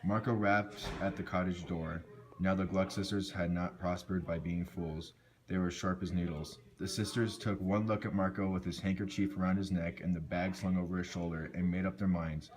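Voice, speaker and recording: A man with a low voice narrates a folk tale from a script. He reads it out as a storyteller, now and then tripping on a word and correcting himself. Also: fairly even intonation, normal speed, a little mellow. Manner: stumbles and corrects words while reading.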